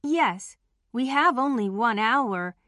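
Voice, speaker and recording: A friendly girl played by a woman speaks scripted textbook dialogue, every word carefully formed. Her voice is fairly high and her pace is slow. The sound is dry and close. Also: lively intonation, sharp tone, no echo, exaggerated voice. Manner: careful, deliberate, clearly enunciated. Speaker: listening test voice actor. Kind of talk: scripted English lesson dialogue.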